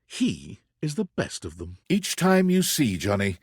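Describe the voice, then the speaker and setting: A man narrating a dating game in the second person, wry and measured. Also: mid-range voice, wildly swooping pitch, slightly rough, no echo, clean studio sound.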